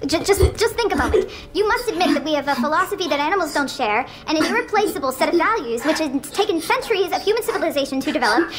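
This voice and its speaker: A woman's voice: sits high, expressive, quick pace. A woman playing an anxious, meek, well-meaning male friend in a radio play, hesitant, stammering and pleading. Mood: earnest, disbelieving. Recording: noisy track with clicks.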